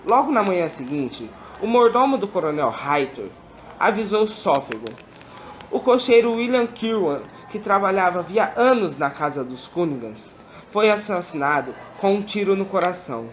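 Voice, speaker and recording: Brazilian guy narrating a school radio play, reads it out carefully, voice pretty high, faint hiss. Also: very animated, normal speed. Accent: Brazilian Portuguese. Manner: careful read-aloud delivery. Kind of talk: reading narration in a student radio drama.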